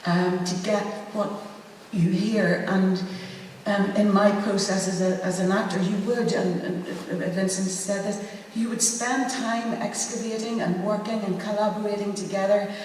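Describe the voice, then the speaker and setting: A woman speaking as an actor on a panel, thoughtful and halting as she searches for words in an informal talk to an audience. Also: medium pitch, steady tone, darkish tone. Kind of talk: informal talk to an audience about a theatre project. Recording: echoey hall, distant mic, faint noise.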